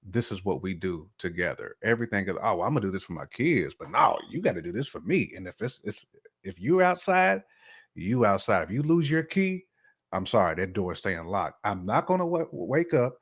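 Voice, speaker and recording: A man's voice: fairly low pitch, sing-song, moderate pace, breathy edge. An American man in his forties giving casual, opinionated commentary as a co-host, thinking aloud in colloquial speech. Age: 40s. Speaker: podcast co-host.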